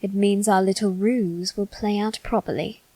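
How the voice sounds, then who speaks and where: A woman's voice: medium pitch, fairly even intonation, moderate pace, dark tone, dry recording, lightly acted. A woman voicing a haughty, bitter young noble boy in an ASMR roleplay, speaking formally and with cold condescension. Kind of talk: ASMR character roleplay, scripted monologue.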